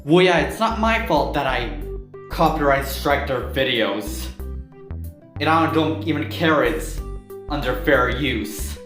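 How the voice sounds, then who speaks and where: A man's voice: medium pitch, expressive, takes it slowly, a bit bright, reverberant, faint music behind. A man voicing an aggrieved, whiny, defensive internet character in a parody cartoon dub. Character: aggrieved, whiny male internet troll-feeder seeking revenge.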